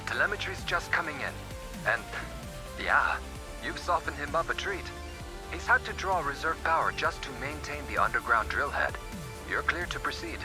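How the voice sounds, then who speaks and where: A man's voice: on the high side, animated, moderate pace, natural voice. An actor playing a helpful male support ally in a video game, guiding in a matter-of-fact, encouraging way. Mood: helpful, cautious. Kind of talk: video game cutscene acting. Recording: loud music behind the voice.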